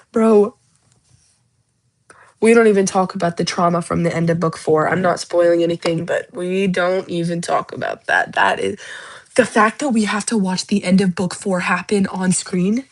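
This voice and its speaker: A woman's voice: fairly low pitch, measured pace. Young woman, livestream host; gushing, emotional, rambling chat. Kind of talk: casual livestream chat about a book series.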